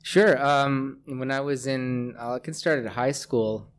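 A middle-aged Canadian man speaking as a scientist guest in a conversational interview, pausing often as he thinks. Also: mid-range voice. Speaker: interview guest, research scientist and lab head.

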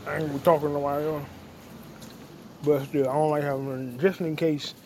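Young male podcast host, a bit high-pitched, rambling and joking while walking outside at night; faint street noise. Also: level tone, brisk, a little mellow. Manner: profane, loose, joking. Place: outdoors on a quiet street.

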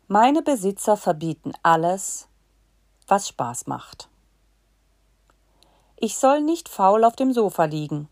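A woman giving a slow dictation to learners, reading in short chunks and saying the punctuation aloud. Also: mid-range voice, a little mellow, no room sound, clean studio sound.

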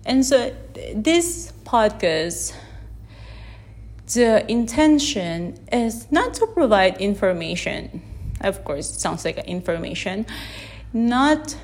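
A woman from the Philippines whose first language is Tagalog, talking unscripted into a phone held in one hand on her podcast, gently and with many restarts as she searches for words. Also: medium pitch, very animated, takes it slowly. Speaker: podcast host. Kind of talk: unscripted reflective podcast monologue. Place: unedited setting with background sound.

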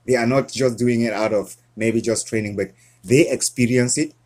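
Earnest Cameroon-born male guest, husky, halting delivery. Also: mid-range voice, fairly even intonation, darkish tone. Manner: earnest, halting with frequent restarts. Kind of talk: casual interview conversation.

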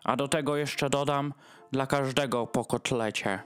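A man's voice: mid-range voice, steady tone, a little slow, fairly bright tone, smooth, close to an everyday voice. A man playing a doting, long-winded dog host in a rhymed radio play, speaking in a ceremonious manner. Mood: apologetic, fussy. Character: Freddy, doting, long-winded male dog hosting Christmas dinner.

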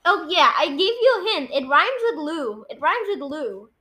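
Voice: sits high, big pitch swings, moderate pace, bright, forceful tone. A young person, child or early teen, hosting a casual guessing game in informal chat. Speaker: podcast host.

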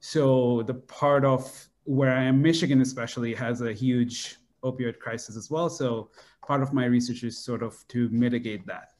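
A man's voice: medium pitch, fairly even intonation, moderate pace, darkish tone. A young man from Bangladesh, a neuroscience PhD student, answering interview questions with lots of fillers and "sort of". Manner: hesitant, many fillers and "sort of".

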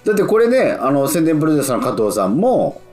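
A man's voice: on the high side, sing-song, quick pace. A man hosting a radio talk show, chatting politely as a presenter. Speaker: radio show host and actor. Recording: music playing behind the voice.

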